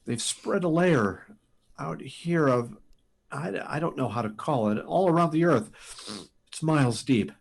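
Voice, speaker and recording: An actor playing a frantic, halting test pilot pleading to warn of doom in a sci-fi radio drama. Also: male voice, fairly high pitch, expressive, creaky, a little hiss or hum. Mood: dazed, awed. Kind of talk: live performance of 1950s sci-fi radio drama.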